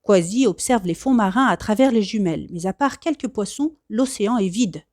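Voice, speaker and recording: A woman reading a children's storybook aloud as expressive scripted narration. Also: mid-range voice, normal speed, dry recording, studio quality. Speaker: storybook reader.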